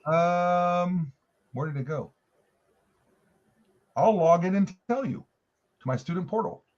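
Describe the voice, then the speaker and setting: A man's voice: high-pitched, animated, takes it slowly. A middle-aged man chatting casually as a livestream guest on a video call, folksy and emphatic, in dull lo-fi call audio. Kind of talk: casual chat.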